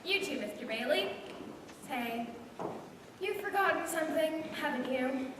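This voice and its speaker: Distant and noisy, with heavy room echo, a woman plays a patient female bank teller in a radio play. Her voice is very high and polite. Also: expressive, a little slow. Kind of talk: stage radio play acting. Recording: quiet distant mic, echoey, noisy.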